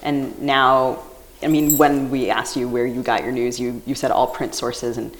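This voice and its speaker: A woman co-hosting a live podcast interview at a book festival, asking questions in a quick, animated manner. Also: rumbling low, a little hiss or hum, rough recording. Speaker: podcast co-host.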